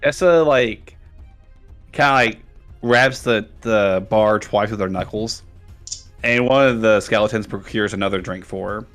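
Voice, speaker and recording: A man narrating a tabletop game as game master, casual and halting, with vivid descriptions and easy swearing. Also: fairly low pitch, a bit bright, faint music behind.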